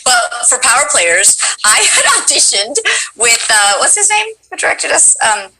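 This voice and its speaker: A woman with a low voice hosting a casual chat as a voice actor, animated and acting out what directors said. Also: a little slow, slight background noise, rough recording. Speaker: host and voice actor.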